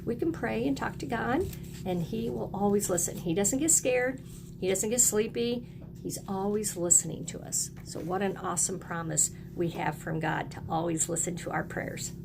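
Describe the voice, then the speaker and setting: A woman's voice: mid-range voice, normal speed, gravelly, noisy background. A woman teaching young children, encouraging them in short, simple phrases as she reads aloud and explains. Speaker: early elementary teacher.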